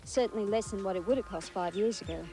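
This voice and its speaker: A woman's voice: medium pitch, quick pace, dark tone, soft background music. A woman with an Australian accent reading scripted narration for an arts documentary. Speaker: presenter and narrator.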